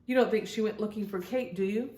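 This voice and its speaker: A woman's voice: mid-range voice, quick pace, warm tone, echoey room, natural voice. A woman voicing an anxious, questioning young girl in a children's storybook, speaking tentatively.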